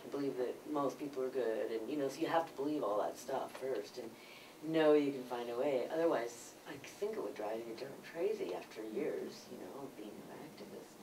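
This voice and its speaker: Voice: medium pitch, a little fast. A panel moderator reflecting conversationally on activism. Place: church conference hall. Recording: distant, noisy conference recording.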